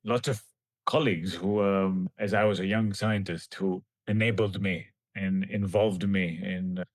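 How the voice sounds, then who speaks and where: A man in his forties from Kenya, a scientist answering interview questions in a thoughtful, halting way with light laughs. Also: low-pitched, fairly even intonation, dry recording. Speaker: scientist guest.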